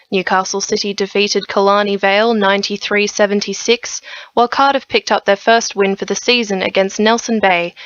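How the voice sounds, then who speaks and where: A woman's voice: mid-range voice, a bit bright, no room sound. A woman with an Australian accent reading a scripted sports bulletin on the radio. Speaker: sports newsreader. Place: dry radio studio. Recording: slightly dull, lo-fi radio recording.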